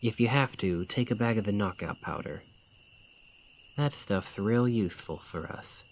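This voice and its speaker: A man acting a bandit leader in an ASMR fantasy roleplay, speaking in a colloquial, deliberate, conspiratorial manner. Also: fairly low pitch. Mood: commanding, hushed planning. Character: gruff but caring male bandit leader, scheming, informal. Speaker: voice actor in audio roleplay.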